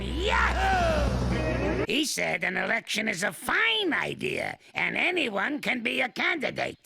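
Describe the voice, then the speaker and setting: A man's voice: very high voice, sharp tone, exaggerated voice. An actor playing a grumpy, bossy old male ape in a TV cartoon, barking insults and grumbling at everyone. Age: older. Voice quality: gravelly old-man voice. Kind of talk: TV cartoon voice acting. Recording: cartoon soundtrack with music. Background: music score.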